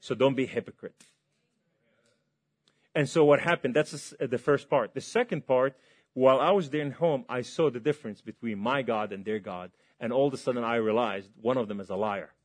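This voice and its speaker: Male preacher from Lebanon, mid-pitched voice, fervent testimony sermon, big swings in volume. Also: brisk. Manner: impassioned, swings between loud and hushed.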